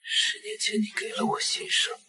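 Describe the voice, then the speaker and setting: A man acting a menacing, possessive tycoon in a Chinese drama, with a husky, breathy voice. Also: moderate pace, sharp tone, rough recording. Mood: obsessive, menacing. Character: menacing obsessive male mafia-style tycoon, intense and possessive. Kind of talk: Chinese audio drama dialogue.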